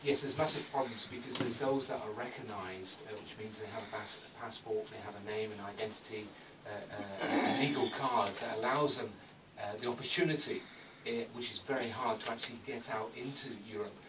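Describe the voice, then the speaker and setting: A man's voice: fairly low pitch, a little fast. A man speaking as a radio interview guest, explaining emphatically and repeating phrases as he goes. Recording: distant mic, clearly noisy background.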